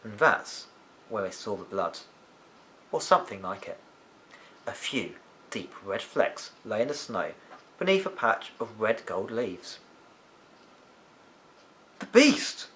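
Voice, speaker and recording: Animated man reading a children's story. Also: lowish voice, unhurried, long pauses, a little hiss or hum. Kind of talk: animated children's audiobook narration.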